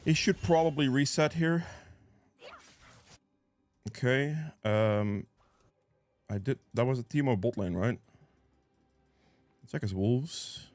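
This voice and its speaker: A man's voice: mid-range voice, takes it slowly, pauses often, darkish tone, no echo. A man giving casual live commentary on a video game as he plays, thinking aloud and reacting as he goes, sniffly with a cold. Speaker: gameplay guide creator and player.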